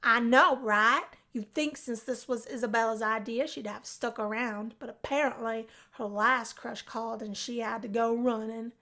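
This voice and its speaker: Woman acting a brash, high-voiced college party girl. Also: steady tone, normal speed, few pauses, sharp tone. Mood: catty. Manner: brash, teasing. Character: lively, teasing, hard-drinking college girl.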